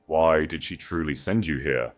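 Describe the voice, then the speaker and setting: Scarred, ruined duke, played by a male narrator: low voice, formal and grim, audiobook dialogue. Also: moderate pace, soft background music. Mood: probing, bitter. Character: grave, guarded exiled duke, a scarred ruined man.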